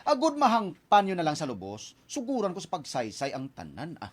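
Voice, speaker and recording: A man's voice: a little high, huge pitch swings, a little fast, husky, heavily acted. An actor playing a cheeky young womanizer who narrates his own story in a radio drama. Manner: cheeky, laughing often. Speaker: lead character and narrator.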